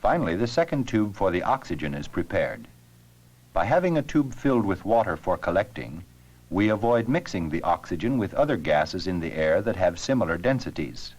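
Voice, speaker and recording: Man, very low voice, lively varied intonation, scripted educational narration, measured explanatory delivery. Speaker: narrator of an educational science film.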